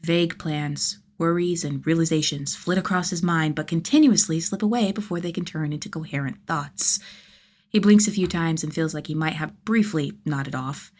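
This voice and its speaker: A woman reading scripted fiction as an audiobook-style narrator, with expressive delivery. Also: low-pitched, normal speed. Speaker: podfic narrator.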